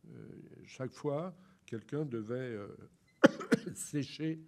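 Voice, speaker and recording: A man with a bad head cold giving an informal lecture on a photograph, talking slowly with many ums and restarts. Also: medium pitch, vocal fry, a little mellow.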